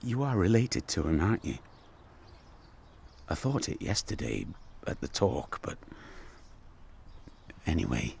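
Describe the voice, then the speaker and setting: Radio drama: mild, guarded older local, low creaky voice that moves about, halting speech, some background noise. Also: male voice, wildly swooping pitch, darkish tone, exaggerated voice. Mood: reflective, guarded. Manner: halting, stammering. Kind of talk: naturalistic radio drama dialogue.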